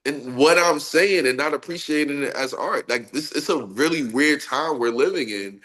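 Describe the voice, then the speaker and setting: A man's voice: on the high side, moderate pace, low-quality recording. A man with a stuffy, nasal voice from a cold, talking casually in an interview and then performing spoken-word poetry with rhythmic delivery. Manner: expressive, laughs often, rhythmic poetic delivery. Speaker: poet guest.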